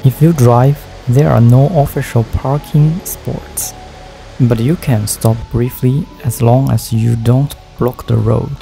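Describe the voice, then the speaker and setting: Slow, gravelly, very dark-voiced man. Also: medium pitch, fairly even intonation, faint music behind, poor audio. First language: Japanese. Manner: careful, measured learner's English. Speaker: travel vlogger. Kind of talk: scripted voice-over narration.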